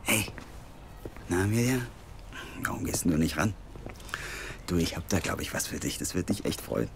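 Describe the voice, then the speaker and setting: An actor playing a driven, persuasive investigative team leader in an audio drama, casual yet insistent. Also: male voice, sits low, expressive, moderate pace. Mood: confiding, affectionate. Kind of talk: naturalistic German audio drama acting. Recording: clearly noisy background.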